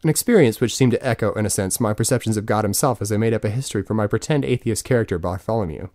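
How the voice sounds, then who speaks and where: A man's voice: fairly low pitch, rapid-fire, a little mellow, no room sound, clean studio sound. A man in his twenties reading his own audiobook in a wry, self-mocking manner. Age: 20s. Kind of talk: scripted audiobook narration.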